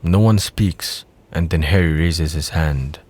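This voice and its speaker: A man with a notably deep voice serves as narrator of an audio drama. He reads scripted scene descriptions and stage directions between the dialogue. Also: measured pace, darkish tone.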